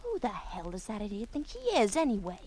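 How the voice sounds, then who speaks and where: A woman's voice: medium pitch, very animated, quick pace, dark tone, slight background noise, close to an everyday voice. A woman voicing a quarrelsome female stereo speaker in a comedy record sketch, nagging and exasperated. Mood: scornful.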